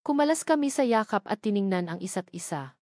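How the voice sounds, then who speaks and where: A woman narrates a scripted love story in the first person. Her voice sits at a middle pitch. The sound is dry and free of room echo, in the manner of a dramatic audio story. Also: animated, brisk, darkish tone.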